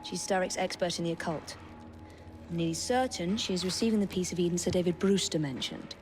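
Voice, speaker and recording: A woman's voice: on the low side, brisk, a little mellow, soft background music, close to an everyday voice. An actress playing a cautious, serious young Victorian woman in a video game, dry and businesslike. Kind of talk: video game cutscene acting.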